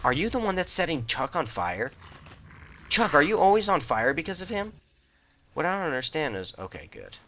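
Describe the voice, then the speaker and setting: A man's voice: on the low side, sharp tone, a little hiss or hum. A young man hosting a let's play, chatting casually and rambling with self-deprecating jokes while he plays. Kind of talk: casual gameplay commentary.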